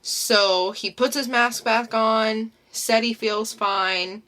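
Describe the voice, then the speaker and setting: A young woman co-hosting a casual comic book podcast, summing up a story in a chatty, unhurried way. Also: on the high side, fairly even intonation, sharp tone. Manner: unhurried, chatty, summarizing plots. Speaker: podcast co-host. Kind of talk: casual comic review chat.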